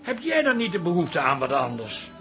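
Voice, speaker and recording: High-pitched, defensive henpecked husband. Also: male voice, wildly swooping pitch, moderate pace, a bit bright, faint music behind. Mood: pleading. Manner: hesitant, pleading. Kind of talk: Dutch radio play acting.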